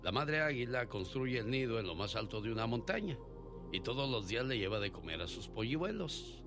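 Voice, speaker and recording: Man, radio call-in host and storyteller, very lively melodic delivery over clear background music. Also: medium pitch, a little fast.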